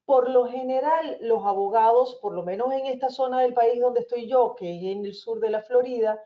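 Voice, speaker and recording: A woman's voice: fairly high pitch, normal speed, narrow, tinny audio. Venezuelan woman lawyer, reassuring. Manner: reassuring, explanatory, addresses listeners as señores. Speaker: immigration lawyer. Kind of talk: webinar explanation.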